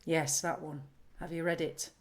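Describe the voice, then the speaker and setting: A deadpan, scattered woman. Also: sits low, normal speed. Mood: weary, stubborn. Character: impulsive, scattered, stubborn adult woman in an office job. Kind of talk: naturalistic audio drama acting.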